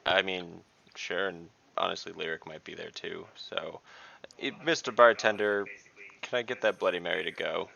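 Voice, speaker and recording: A man's voice: low voice, steady tone, normal speed, creaky, sharp tone, rough recording. A man playing a tabletop game online, chatting casually as he speaks for his character. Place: online voice call.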